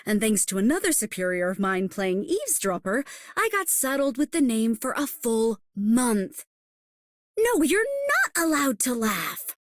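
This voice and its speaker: A woman's voice: fairly high pitch, very animated, normal speed, no echo, clean studio sound. A woman voicing an exasperated, put-upon young game heroine, grumbling indignantly. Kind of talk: game character voice line.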